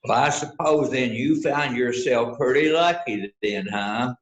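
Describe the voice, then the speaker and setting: A man playing a folksy, slow-witted small-town lawman with a halting delivery in an amateur mystery play. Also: mid-range voice, natural voice. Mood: curious, probing. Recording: echoey room sound.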